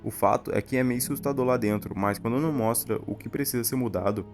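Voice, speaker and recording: A man's voice: mid-range voice, fast, soft background music. A man with a Brazilian accent, telling a horror story as scripted narration for an explainer video.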